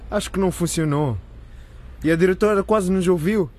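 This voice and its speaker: A man's voice: high voice, a little fast, darkish tone, lightly acted. Man playing a lightish-voiced teenage boy. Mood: downcast. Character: downcast but good-humoured teenage boy confiding in friend. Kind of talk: radio drama acting.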